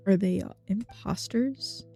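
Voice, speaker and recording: A woman's voice: medium pitch, a little slow, vocal fry, warm tone. A woman casually commenting on a video game playthrough with puzzled, murmured reactions over game music. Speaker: game streamer. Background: game music and game dialogue.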